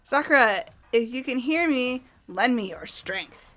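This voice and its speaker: A woman voicing an earnest, energetic teenage girl in a video game, forthright and emphatic. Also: fairly high pitch, unhurried, faint music behind. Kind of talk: amateur voice acting of a video game.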